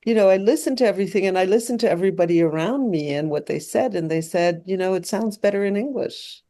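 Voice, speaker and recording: A French-born woman in her sixties, whose first language is French, speaking English in a relaxed interview. She pauses a lot, with many fillers and restarts. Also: medium pitch. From France. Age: 60s. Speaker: documentary filmmaker guest. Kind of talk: casual interview conversation.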